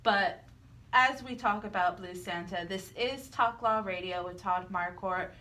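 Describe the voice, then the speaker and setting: Woman co-host with medium pitch. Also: unhurried, bright, forceful tone. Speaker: radio show co-host. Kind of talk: conversational radio hosting.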